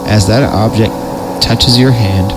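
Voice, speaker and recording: A man theatrically reading a horror story, stretching out words and dropping into muttered, profane comic asides. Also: on the low side. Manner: theatrical, drawn-out delivery broken by muttered asides. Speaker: comedy podcast host reading horror stories. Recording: clearly noisy background.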